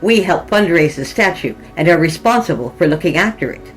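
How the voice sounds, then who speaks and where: A woman's voice: lowish voice, lively intonation, a little fast, faint music behind, lightly acted, low-quality recording. A woman voicing a stern, accusing elderly small-town woman in a story reading, blunt, indignant and self-important.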